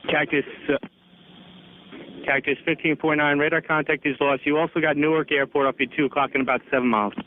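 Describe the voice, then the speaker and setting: A man's voice: on the high side, steady tone, fast talker. An American man working as an air traffic controller, giving clipped, procedural instructions over aviation radio. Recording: tinny narrowband radio with static.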